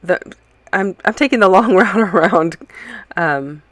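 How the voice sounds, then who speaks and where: A woman's voice: on the low side, a little slow, a bit bright, dry recording. Woman talking through her craft project, kinda sniffly from a cold, lots of ums and restarts. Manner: rambling, chatty, many ums and self-corrections. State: slightly stuffy from a cold. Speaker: scrapbooking channel host. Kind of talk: casual process-video voice-over.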